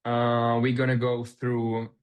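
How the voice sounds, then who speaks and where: Czech-native man, webinar presenter on a call, halting with lots of fillers. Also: mid-range voice, completely flat delivery, smooth. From Czech Republic. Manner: hesitant, many fillers and restarts. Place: remote video call.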